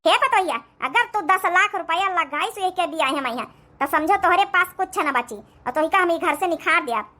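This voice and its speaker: A woman plays a bossy old village mother-in-law from an Awadhi cartoon comedy. The voice is extremely high and piercingly bright. She speaks in a scornful, haughty, scolding rustic way. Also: lively intonation, moderate pace, exaggerated voice. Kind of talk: Hindi moral-story cartoon comedy acting.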